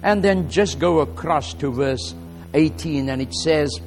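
South african guy preaching slow and easy, afrikaans is his first language, lots of uhs, faint music under him. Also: a little high. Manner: relaxed and halting, with many "uh" fillers, louder and slower when reading scripture. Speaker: guest preacher. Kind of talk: sermon with scripture reading.

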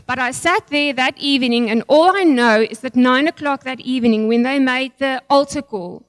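A middle-aged woman with a South African accent tells her testimony in front of a church, her first language being Afrikaans. She sounds nervous and emotional, pausing often on fillers and restarting phrases. Her voice sits high and is captured close and dry. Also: dry recording. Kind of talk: personal testimony and prayer.

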